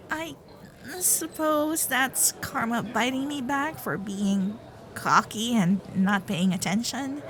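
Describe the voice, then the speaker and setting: Woman acting a teasing kitsune girlfriend, lively and unhurried. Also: sits high, noisy background. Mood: sheepish, cheerful.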